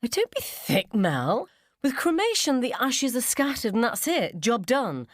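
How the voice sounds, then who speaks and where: A woman's voice: a little high, very animated, measured pace, no echo, clean studio sound, pitched above the performer's own voice. A woman voicing a self-important, tedious mother who explains things in an earnest, patronising way in a radio story. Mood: exasperated, patronising. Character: self-important, tedious mother lecturing fellow mums over dinner.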